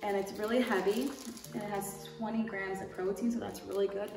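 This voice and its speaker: A woman's voice: mid-range voice, steady tone, brisk. A young woman chatting casually and informally to camera as a lifestyle YouTuber, rambling a little through a product haul. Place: indoor room. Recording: roomy echo, distant mic, music behind.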